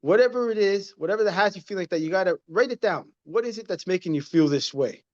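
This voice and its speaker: Man in his 30s, kinda high voice, earnest pep-talk guy repeating himself, dull muffled audio. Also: lively intonation, quick pace, darkish tone. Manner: earnest, repetitive, motivational. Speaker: guest, inspirational speaker. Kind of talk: casual interview conversation.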